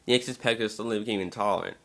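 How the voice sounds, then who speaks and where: A man's voice: lowish voice, steady tone, very fast, creaky, fairly bright tone. A man giving an amateur scripted narration of a story, now and then stumbling over the words.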